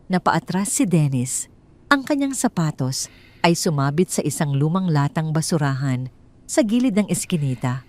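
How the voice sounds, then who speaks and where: Woman, Filipino accent, slightly low pitch, solemn story narrator, deliberate dramatic phrasing. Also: big pitch swings, a little mellow.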